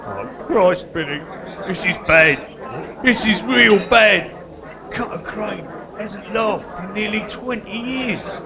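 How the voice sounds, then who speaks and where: A man acting a chatty jailbird and would-be schemer. His high, creaky voice swoops about as he rambles. It is live stage comedy with a loud crowd. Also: lively intonation, slow pace, exaggerated voice. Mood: urgent, anxious. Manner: rambling, colloquial, matter-of-fact. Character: cocky, chatty male guerrilla artist convict, petty schemer.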